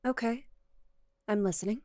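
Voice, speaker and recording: A woman's voice: a little high, normal speed, darkish tone, no echo, clean studio sound, close to an everyday voice. A woman playing a jealous, possessive young cat girl girlfriend in an ASMR roleplay, speaking to the listener in a coy, accusing yet affectionate way. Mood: suppressed jealous anger.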